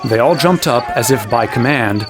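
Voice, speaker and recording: A male actor as a young fantasy hero recounting his battles. His mid-range voice is earnest and defiant, set over clearly audible noise of crickets. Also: mid-range voice, moderate pace, natural voice. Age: young adult. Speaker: first-person narrator. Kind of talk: dramatized storytelling narration.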